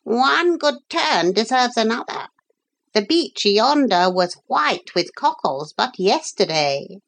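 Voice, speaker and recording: Storybook female parrot acted by a woman, high-pitched, earnest, dignified, formal pleading, lively intonation. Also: takes it slowly, heavily acted. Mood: grateful.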